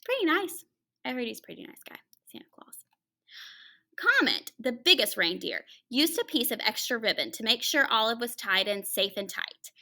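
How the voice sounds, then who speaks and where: Animated, high-pitched woman reading a children's story. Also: vocal fry. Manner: animated, with dramatic pauses and hushed asides. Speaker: teacher reading a picture book aloud to children. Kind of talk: expressive children's story read-aloud with conversational asides to listeners.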